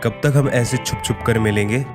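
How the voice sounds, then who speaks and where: A man playing a devoted young man in love, soothing and earnest, in an animated moral story. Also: mid-range voice, moderate pace, music in the background, lightly acted. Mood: loving, reassuring.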